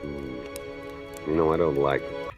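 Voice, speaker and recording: An actor playing a skeptical, bullying police captain in a 1940s radio crime drama, sardonic and gruffly commanding. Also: male voice, very deep voice, little pitch movement, measured pace. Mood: weary, brooding. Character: hard-boiled male police captain, skeptical, bullying interrogator. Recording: dull old radio recording with music.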